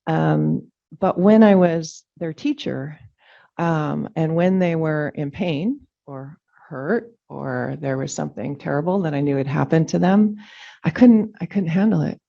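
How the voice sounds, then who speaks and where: A middle-aged woman, a Danish native speaker, giving a reflective, halting farewell speech as chair of a public meeting. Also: low voice, vocal fry, warm tone. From California. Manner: reflective, halting, with frequent ums. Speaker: commission chair. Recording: dull lo-fi meeting audio.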